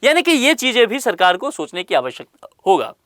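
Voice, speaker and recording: A woman teaching a current affairs lesson for exam students, with a lively, rising and falling voice. She speaks straight to the viewer, asks rhetorical questions and gives everyday examples. The recording is close and dry. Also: medium pitch, a little fast, dry recording. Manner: explanatory, addresses viewers directly with rhetorical questions.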